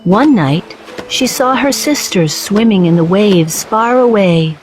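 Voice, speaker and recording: A woman reading a children's storybook as narrator, with measured, clearly phrased delivery. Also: mid-range voice, lively intonation, a little mellow, faint music behind, poor audio.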